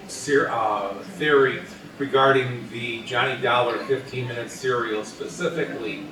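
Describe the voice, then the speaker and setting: Halting man, distant in an echoey room. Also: medium pitch, a bit bright. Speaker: audience questioner. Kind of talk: casual spoken question.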